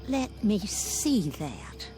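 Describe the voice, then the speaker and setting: An actress in a radio drama playing a wistful, cautious old woman with a gentle, halting delivery. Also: medium pitch, big pitch swings, music in the background. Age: older.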